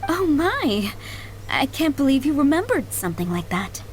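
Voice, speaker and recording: A woman voicing a kindly, middle-aged head maid in a video game, polite, doting and gently chatty. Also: high voice, sing-song, normal speed, slight background noise. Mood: fond, attentive. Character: kindly motherly head maid, fondly remembering boys she raised. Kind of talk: video game character acting. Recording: background music under the voice.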